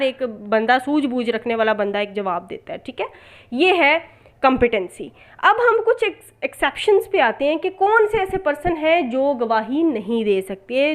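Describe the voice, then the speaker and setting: Female law tutor, Pakistani accent, notably high voice, didactic delivery that restates each point and drops in English terms. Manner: didactic, repeats and rephrases points with frequent check-ins. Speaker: law lecturer. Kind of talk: explanatory lecture mixing in English terms.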